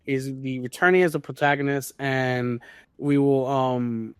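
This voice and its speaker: A man's voice: medium pitch, steady tone, a little slow, smooth, dry recording. A man co-hosting a casual gaming podcast, talking emphatically and repeating his points for stress. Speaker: podcast co-host.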